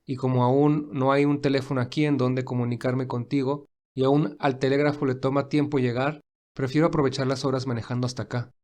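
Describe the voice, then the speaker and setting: Actor as kind, reassuring busy gentleman. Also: mid-range voice, little pitch movement, a little fast, close to an everyday voice. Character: William, kind busy wealthy man, warm and reassuring. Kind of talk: acted audio fan fiction dialogue.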